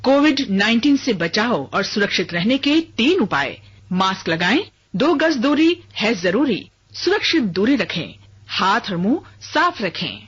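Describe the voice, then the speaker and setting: A woman's voice: a little high, very animated, measured pace. A woman reading a scripted public service health announcement. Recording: dull lo-fi broadcast. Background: music.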